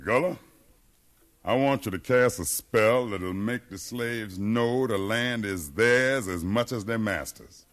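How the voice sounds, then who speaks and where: An American actor playing a bold, educated freed slave who leads a revolt, with impassioned oratory in 1950s radio drama style. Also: male voice, lowish voice, slow, a bit bright. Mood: impassioned, persuasive. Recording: remastered 1950s radio broadcast.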